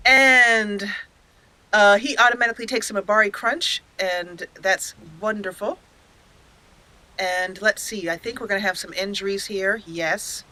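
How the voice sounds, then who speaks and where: A woman hosting a gaming channel, giving expressive roleplay commentary and storytelling while talking to the game characters. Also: mid-range voice, unhurried, rough recording.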